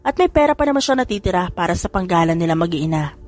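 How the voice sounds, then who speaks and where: A woman narrating an audio drama story in a lively, expressive reading style. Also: on the low side, fast talker, soft background music. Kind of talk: audiobook storytelling with character voices.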